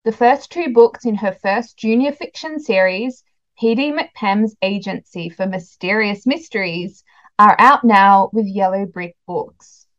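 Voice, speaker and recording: Female podcast host, conversational interviewer, mid pitch, slightly dull recording. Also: unhurried, a bit bright. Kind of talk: podcast interview, warm book chat.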